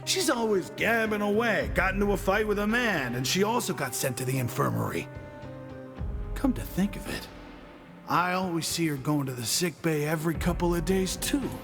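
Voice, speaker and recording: A man's voice: high voice, expressive, moderate pace, gravelly. A man playing a gossipy, cynical prison cook who boasts and scorns in a conspiratorial, opinionated way in a video game. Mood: gossipy, suspicious. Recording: game music behind the voice.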